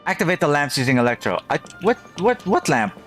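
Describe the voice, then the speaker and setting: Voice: mid-range voice, big pitch swings, a little fast. A game streamer giving casual, playful live commentary, reacting dramatically and muttering along. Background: game music.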